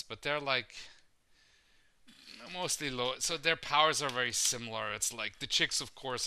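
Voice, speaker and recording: Low-voiced guy rambling on his podcast, lots of um and like, swears a lot, smooth clear sound. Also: sharp tone. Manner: rambling, profane, filler-heavy. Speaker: podcast host. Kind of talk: casual opinion podcast.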